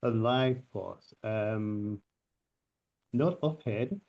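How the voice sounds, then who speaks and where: A man whose first language is not English, a teacher, answering slowly and carefully. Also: on the low side. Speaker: English teacher, event guest. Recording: dull lo-fi call audio.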